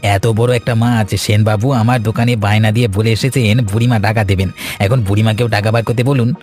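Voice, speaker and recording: A brash fishmonger played by a man speaks in a fairly low voice. He is blunt and grumbling, a village trader in a cartoon, with music underneath. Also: steady tone, brisk, a little mellow, close to an everyday voice. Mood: aggrieved, threatening. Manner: blunt, complaining, threatening. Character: brash, grumbling male village fishmonger demanding his money. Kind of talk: Bengali cartoon dialogue acting.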